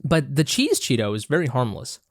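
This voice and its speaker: A man's voice: mid-range voice, wildly swooping pitch, fairly quick, no echo, studio quality. A congested man hosting a podcast, chatting casually and rambling with many restarts while he snacks. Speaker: podcast host. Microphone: own camera and mic, close and dry.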